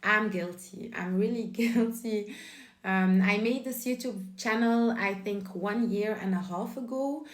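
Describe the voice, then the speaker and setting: A woman's voice: mid-range voice, unhurried, roomy sound. Chatty, giggly Belgian woman on a phone. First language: Dutch. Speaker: vlogger. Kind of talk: casual chat to camera.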